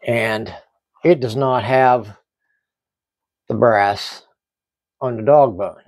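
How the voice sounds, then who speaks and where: A middle-aged man giving a casual hands-on hobby review in halting, explanatory short phrases. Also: mid-range voice, a little mellow, no room sound. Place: small indoor hobby workbench.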